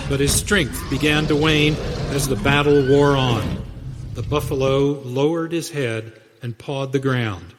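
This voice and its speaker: A man's voice: medium pitch, noisy background. A man narrating a western radio drama in a scripted, dramatic storytelling style. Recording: reverberant hall sound.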